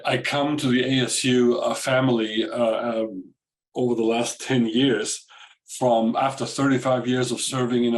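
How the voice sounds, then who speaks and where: A man in his sixties with an Austrian accent speaks formally and courteously as a panel moderator, in a measured, halting way. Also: medium pitch, rough recording. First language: German. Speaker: moderator, retired diplomat. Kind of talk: semi-formal panel remarks. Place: video call webinar.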